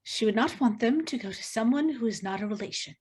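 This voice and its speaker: A woman's voice: fairly high pitch, animated, fast talker, darkish tone, breathy edge. A woman playing a shy, nervous niece, hesitant and earnest, in a screenplay table read.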